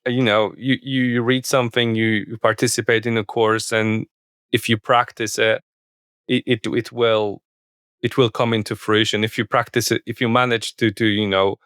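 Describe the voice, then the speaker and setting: A man with a Polish accent, speaking English as a second language as a podcast guest, halting with many restarts. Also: mid-range voice, little pitch movement, fairly bright tone, clear, resonant tone, no echo, clean studio sound. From Poland. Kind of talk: podcast interview.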